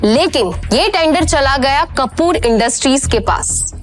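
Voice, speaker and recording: High-pitched female news anchor. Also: expressive, measured pace, faint music behind. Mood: dramatic, announcing. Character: brisk female television business news anchor. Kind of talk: dramatized news reading.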